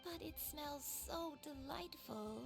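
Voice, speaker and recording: Frail, vague old grandmother for a video game, slow creaky delivery, slightly high, music underneath. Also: full character voice. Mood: plaintive. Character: frail, vague, confused grandmother with youthful looks. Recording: game music playing behind.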